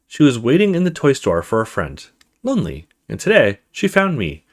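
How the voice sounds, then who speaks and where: Man as little girl, horror visual novel, earnest, childlike, confiding, animated pitch. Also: moderate pace. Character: little girl confiding in her mother about her doll.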